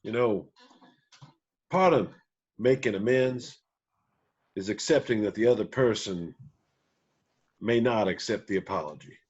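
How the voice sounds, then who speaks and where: Man reading as husky, remorseful absent father. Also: fairly low pitch, animated, darkish tone, muffled recording. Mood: contrite, pleading. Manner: halting, contrite. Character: remorseful absent father, former drunk, Southern country musician. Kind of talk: screenplay table read.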